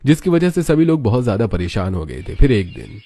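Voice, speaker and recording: A man's voice: mid-range voice, big pitch swings, fairly quick, mellow. A man reading scripted narration for a cartoon story.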